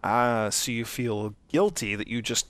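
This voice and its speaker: A man's voice: mid-range voice, measured pace, a bit bright. A man hosting a Let's Play, giving casual, easygoing commentary and explanations.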